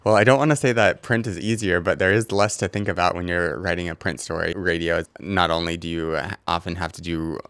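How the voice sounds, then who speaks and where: Male editor, very low voice with a smooth, clear tone, easygoing and halting. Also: no room sound. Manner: relaxed, halting with many fillers and restarts. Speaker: newspaper editor. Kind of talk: casual interview.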